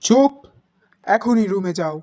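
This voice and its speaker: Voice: medium pitch, animated, slow, dry recording, lo-fi sound. An actor voicing a strict father in a cartoon, who forbids a trip and tells a grave ghost story. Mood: stern. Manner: stern, then hushed storytelling. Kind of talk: cartoon voice acting.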